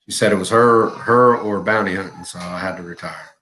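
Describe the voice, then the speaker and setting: A man's voice: low voice, brisk, bright, forceful tone, slight background noise, poor audio. A man in his forties chatting casually on a live stream, laid-back, laughing often and telling long stories. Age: 40s. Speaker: co-host of a live chat stream.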